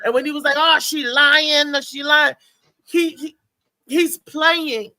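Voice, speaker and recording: A woman's voice: high-pitched, fairly even intonation, slow, sharp tone, dry recording. A woman hosting a casual talk show, insistent, repeating her words as she makes her point and quotes others. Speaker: show host. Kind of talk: casual chat.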